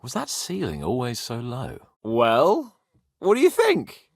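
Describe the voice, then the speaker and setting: A man playing a spoiled, cocky young nobleman in a video game, flippant and theatrical, swinging between whiny and wry, with a husky voice. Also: medium pitch, huge pitch swings, slow, dry recording, clean studio sound, full character voice. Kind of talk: video game character voice acting.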